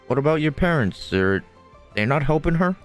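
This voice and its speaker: A man's voice: a little high, big pitch swings, moderate pace, faint music behind. A man giving casual, laid-back gameplay commentary, musing aloud as he reacts. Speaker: game streamer.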